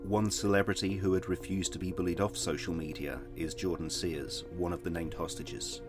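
Guy doing serious low news reporter voice, formal script, clear music behind. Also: level tone, moderate pace, lightly acted. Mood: grave. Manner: formal, grave.

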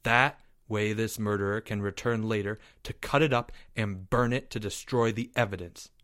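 A man voicing an accusing schoolboy in a story, interrogating in a deliberate, commanding way. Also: on the low side, fairly even intonation, a bit bright, no room sound, studio quality, voice pushed down, natural voice. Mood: cool, suspicious. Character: stern, accusing schoolboy playing detective.

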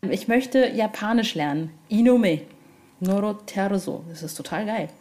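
A woman's voice: medium pitch, lively intonation, measured pace, warm tone. A woman hosting a casual podcast interview, chatting in an informal, enthusiastic way.

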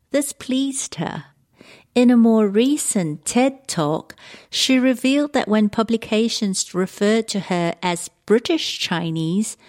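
A woman hosting, reading a measured narration and then asking questions with some hesitation. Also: medium pitch, darkish tone. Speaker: podcast host and interviewer. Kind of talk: scripted narration and interview questions.

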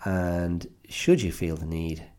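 A middle-aged man hosting a reflective solo podcast, a little congested, speaking slowly and thoughtfully with many pauses and restarts. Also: deep voice, dark tone. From Ireland. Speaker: podcast host.

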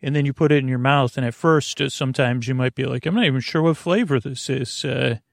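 A man's voice: mid-range voice, gravelly, no room sound, clean studio sound. A man hosting a sleep podcast, talking his way through a rambling, tangent-filled intro with lots of fillers and restarts, and playing with words along the way. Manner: halting, tangent-prone, many fillers and restarts; playful, muses on words.

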